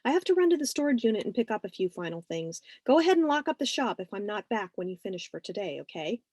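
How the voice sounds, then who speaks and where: A woman playing a good-humoured shop owner in her thirties, brisk, wry and encouraging, in a story reading. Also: mid-range voice, sing-song, darkish tone, no echo. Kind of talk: audiobook-style character reading.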